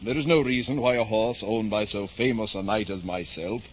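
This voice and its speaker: An actor playing a grandiose, deluded gentleman of about fifty in a declamatory old radio drama style. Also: lowish voice, moderate pace, lightly acted. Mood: proud, lofty. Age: middle-aged. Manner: pompous, declamatory. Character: deluded, grandiose gentleman nearing fifty, would-be knight-errant. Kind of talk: 1950s radio drama acting. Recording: husky-sounding, dull vintage radio recording.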